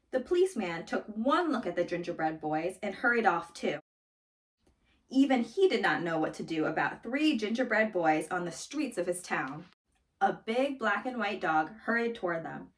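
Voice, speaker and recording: A woman reading a children's story aloud in an expressive, dramatic storytelling manner. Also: on the high side, far from the mic. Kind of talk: scripted storybook read-aloud.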